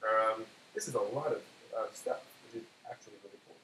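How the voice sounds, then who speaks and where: A man's voice: on the low side, brisk. A man hosting a casual cooking segment, thinking aloud in a hesitant way as he goes. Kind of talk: casual cooking show chat. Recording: echoey room with faint hiss.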